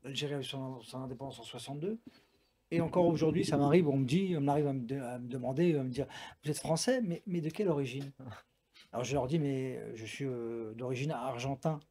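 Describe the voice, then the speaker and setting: A man's voice: mid-range voice, a little fast, dark tone. A man in his sixties from France, a boxer and coach speaking frankly and emphatically in a talk-show conversation. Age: 60s. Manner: frank, emphatic, repeats himself for weight. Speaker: Muay Thai boxer and coach.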